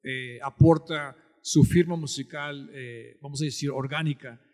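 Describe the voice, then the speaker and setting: A man's voice: a little high, steady tone, unhurried, a little mellow. A man from the Texas border with a Mexican-American Spanish accent, explaining conversationally as an interview guest and band director. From Texas-Mexico border, United States. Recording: long hall-like reverb.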